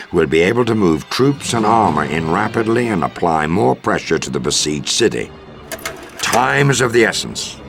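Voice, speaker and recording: A very low, commanding American officer, delivers a formal mission briefing in a war video game. His speech is measured and authoritative, with prominent music underneath. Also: creaky, heavily acted. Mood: brisk, resolute.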